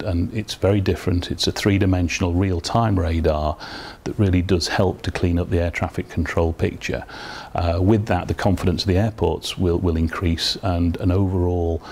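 Male company spokesman, very low pitch, explanatory interview delivery. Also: vocal fry, a little mellow, slight background noise.

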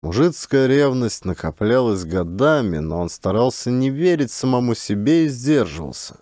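A man's voice: medium pitch, big pitch swings, normal speed, mellow, dry recording, clean studio sound. A man narrating a literary audiobook in a dramatic storytelling style.

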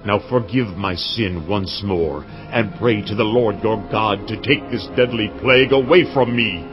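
Low-ish haughty pharaoh, man doing him, bossy king, music under it, dull audio. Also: a little slow, close to an everyday voice. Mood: pleading. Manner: haughty, demanding. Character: Pharaoh, proud stubborn Egyptian king.

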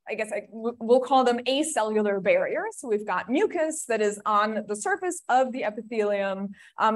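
A woman from Germany giving a friendly, chatty academic seminar talk with many ums. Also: a little high. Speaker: guest lecturer.

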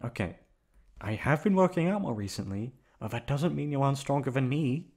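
A man playing an affectionate, teasing young boyfriend in a roleplay audio, coaxing playfully with drawn-out words. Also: medium pitch, lively intonation, darkish tone, husky, voice pushed up. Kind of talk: roleplay ASMR audio acting.

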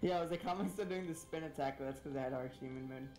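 A young woman with a low voice chats and laughs while she plays. She thinks aloud about button combos. Her cheap mic sounds roomy, with game music loud underneath. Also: rapid-fire. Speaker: streamer. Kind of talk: casual gaming chat.

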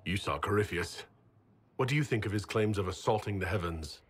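A man playing a weary, sceptical fantasy game hero, earnest in manner, with a husky voice. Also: fairly low pitch, expressive, fairly quick. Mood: serious, probing. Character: weary, doubting male fantasy hero, survivor and leader. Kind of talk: dramatic game dialogue.

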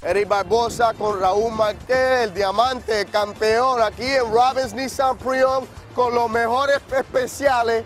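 Puerto rican guy hyping cars on a lot, jokey, real high voice. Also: steady tone, slow, few pauses. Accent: Puerto Rican Spanish. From Puerto Rico. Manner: joking, hyped, switches into English words. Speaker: car salesman. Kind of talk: playful sales pitch. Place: outdoor car lot.

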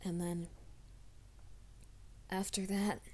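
A woman voicing a troubled young man who hides a werewolf nature, in a soft, hushed ASMR roleplay, often whispering. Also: mid-range voice, level tone, drawn-out words. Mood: anxious whisper. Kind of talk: fan audio drama, ASMR roleplay.

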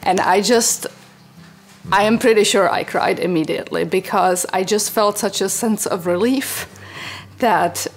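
A woman's voice: mid-range voice, unhurried. A woman in her forties from the Czech Republic, speaking earnestly and reflectively in an interview from a living room sofa. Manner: earnest and reflective, gesturing as she talks. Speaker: parent interviewee. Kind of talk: interview testimonial.

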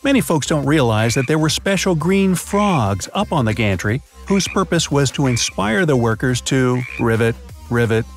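A man's voice: medium pitch, lively intonation, normal speed. A man reading a scripted explainer narration, dropping in playful jokes now and then. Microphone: studio voice-over. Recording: faint background music.

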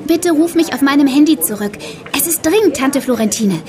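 An actress playing an excitable, chatty teenage girl detective in a German children's audio drama, with a gushing delivery. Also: high-pitched, brisk. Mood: urgent, chatty. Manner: gushing, exclamatory.

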